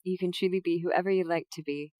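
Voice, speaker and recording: A woman guest, a voice actress and streamer, chatting casually on a podcast in a playful, giggly way that leaps into high excited tones. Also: fast, no room sound, studio quality.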